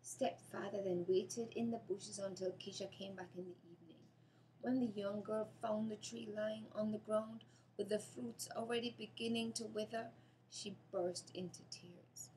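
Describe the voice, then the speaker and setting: A woman's voice: mid-range voice, fairly even intonation, normal speed, dark tone, a little hiss or hum, poor audio. A Jamaican woman reads a children's story aloud, narrating expressively.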